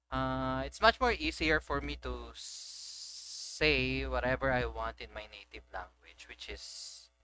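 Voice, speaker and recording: A man's voice: medium pitch, pushed and bright, poor audio. A Filipino man, native in Filipino, giving casual gaming commentary as a streamer, chatting and switching between English and Tagalog. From Philippines. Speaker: VTuber streamer.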